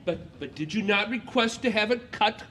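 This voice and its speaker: An actor playing a flustered, deferential tailor who stammers earnestly in a Shakespearean comedy audio play. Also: male voice, sits high, expressive, normal speed, sharp tone, recorded from a distance. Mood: flustered protest. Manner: stammering, hesitant. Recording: noticeable background noise.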